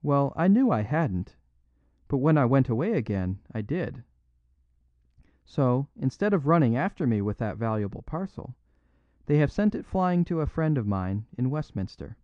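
A man voicing a humble little priest who speaks in a plain, unaffected, gently apologetic way. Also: medium pitch, big pitch swings, normal speed, no room sound, muffled recording, pitched above the performer's own voice, close to an everyday voice. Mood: gentle.

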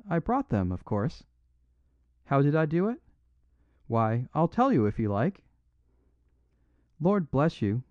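A man voicing a humble little priest who speaks in a plain, unaffected, gently apologetic way. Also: medium pitch, dry recording, dull audio, pitched above the performer's own voice.

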